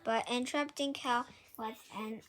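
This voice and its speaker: A woman reading a children's picture book aloud in a halting, word-by-word manner, correcting herself as she goes. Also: a little high, fairly even intonation, fairly bright tone, slight background noise. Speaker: storybook reader.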